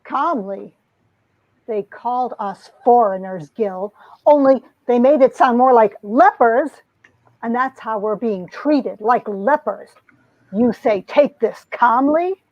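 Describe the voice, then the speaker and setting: A woman's voice: fairly high pitch, takes it slowly, no echo. Woman playing an earnest, melodramatic young heroine, dull sound. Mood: frightened. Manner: theatrical, emotive. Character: earnest young woman narrating her frightening romance-comic ordeal. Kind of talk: dramatic comic-book reading, melodramatic first-person narration. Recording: dull, lo-fi recording.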